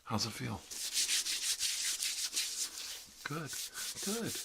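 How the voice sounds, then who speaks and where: A man playing a gentle, reassuring dentist in a soft-spoken ASMR roleplay, murmuring hesitantly and often slipping into a whisper. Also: mid-range voice, very animated, unhurried, vocal fry, background noise. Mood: calm, kindly. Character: gentle dentist treating a vampire patient.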